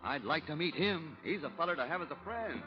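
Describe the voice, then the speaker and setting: An actor playing a fawning, giggly frontier hanger-on with an ingratiating drawl in a vintage radio drama. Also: male voice, on the high side, animated, a little fast, close to an everyday voice. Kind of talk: 1930s radio acting. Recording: muffled vintage radio recording, music behind.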